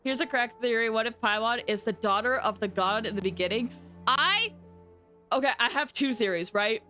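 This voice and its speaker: A woman streaming a game with animated, rambling commentary and dramatic outbursts. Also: a little high, normal speed, soft background music. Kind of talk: excited live gameplay commentary and theorizing.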